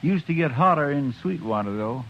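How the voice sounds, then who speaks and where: An earnest young deputy in a 1950s radio play. He talks in a homespun, deferential way in a fairly high voice. The broadcast is dull with faint hiss. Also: lively intonation, moderate pace. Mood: homespun, eager. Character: earnest, eager-to-please young male deputy. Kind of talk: 1950s radio drama acting.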